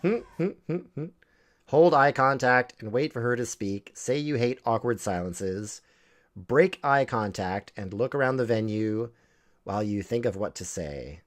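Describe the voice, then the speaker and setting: A man's voice: medium pitch, expressive, unhurried. A man streaming a video game live, reading options aloud and joking sarcastically.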